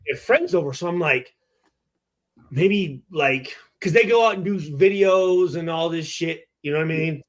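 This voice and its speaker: Middle aged guy, kinda high voice, swears a lot, stumbles and repeats himself telling a story like hes with buddies. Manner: halting, repetitive, acts out exchanges. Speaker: podcast guest telling a story. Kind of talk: animated casual storytelling, heavy swearing.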